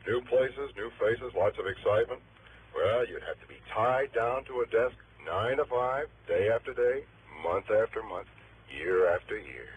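A man's voice: fairly low pitch, lively intonation, normal speed, phone-quality sound, lightly acted. Easygoing insurance manager, acted by a man, noisy old radio. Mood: teasing, easygoing. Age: middle-aged. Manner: casual, chatty, explanatory. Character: easygoing, sharp male insurance bureau manager about forty. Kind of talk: 1960s radio acting.

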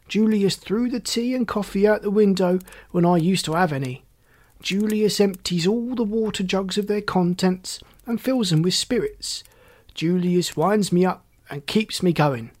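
A narrator acting a roaring drunken man, pitched up. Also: natural voice. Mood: wild, goading. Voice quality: hoarse. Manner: loud, drawn-out, repetitive calls. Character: roaring drunken man, ragged, mad and mocking.

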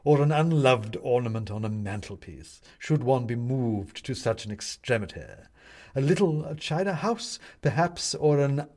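A man voicing a pompous ghost judge in an owl ornament, with declamatory, drawn-out courtroom oratory, in a storybook reading. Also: medium pitch, lively intonation, darkish tone. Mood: lofty, lecturing.

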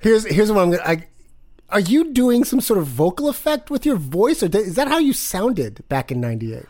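A man's voice: high voice, very animated, normal speed, darkish tone. A man hosting a podcast, teasing his guest and stumbling over his words. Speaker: podcast host. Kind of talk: casual chat.

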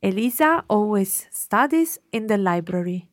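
A woman leads translation drills in a mid-pitched voice. She reads each sentence twice and corrects gently. The sound is dry and close. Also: animated, dry recording. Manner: reads prompts slowly and repeats them, corrects gently. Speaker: language teacher.

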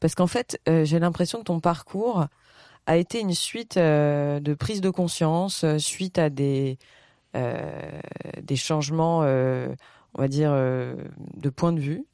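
A woman's voice: low voice, slow pace, dark tone, no room sound, studio quality. A woman around forty hosting a podcast, reading a scripted intro and then interviewing in a hesitant, filler-heavy way. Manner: hesitant, many fillers in conversation. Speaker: podcast host.

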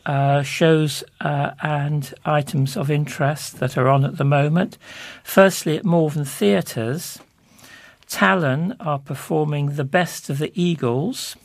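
A man presenting local information, reading out listings and phone numbers in measured, deliberate short phrases. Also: fairly high pitch, vocal fry, no room sound.

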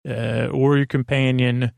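Creaky-voiced man rambling drowsily, dry close recording. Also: mid-range voice, flat delivery, darkish tone, dry recording. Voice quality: creaky, gravelly. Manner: meandering, halting, stumbling, absurdly whimsical. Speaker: podcast host. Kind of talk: rambling bedtime sleep podcast monologue.

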